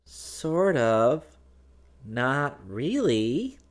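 A man voicing a good-natured, candid young woman in a light, unhurried manner for a storybook. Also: a little high, big pitch swings, pitched above the performer's own voice. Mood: puzzled.

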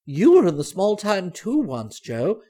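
A proud middle-aged mother with a stage past, played by a male storyteller. Her voice sits fairly high and she speaks earnestly, confiding with open emotion. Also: huge pitch swings, measured pace, mellow, husky, heavily acted. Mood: pleading, proud.

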